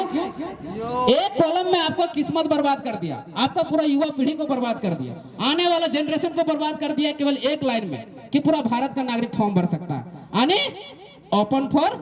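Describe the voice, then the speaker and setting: A man with a Jharkhandi accent giving an emphatic political explainer speech, repeating points and posing rhetorical questions. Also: very high voice. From Jharkhand, eastern India. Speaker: activist speaker.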